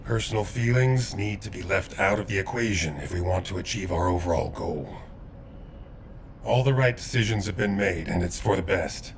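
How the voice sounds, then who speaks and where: Deep-voiced, grave seasoned warrior. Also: male voice, slight background noise. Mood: solemn, restrained. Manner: measured, earnest, cautioning. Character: grave, seasoned male warrior, restrained and solemn. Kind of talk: video game voice acting.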